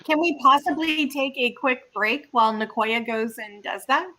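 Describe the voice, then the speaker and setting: A woman plays a tabletop game online and talks in a casual way. Her voice is a bit high and very bright. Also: moderate pace, slight background noise.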